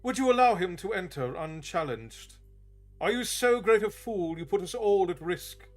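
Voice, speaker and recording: Man doing a nasty bald mind-reader guy, sneering and yelling, high pitched, soft music under. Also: lively intonation, moderate pace. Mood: furious. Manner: shouting, sneering, raised high. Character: cold, menacing bald male mind-reader.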